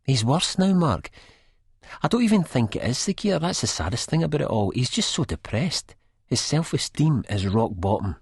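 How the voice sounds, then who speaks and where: Man voicing a weary, earnest woman, husky and raised. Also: medium pitch, sing-song, normal speed, darkish tone, no echo, studio quality, voice pushed up. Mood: worried. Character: weary, concerned woman friend confiding in the narrator.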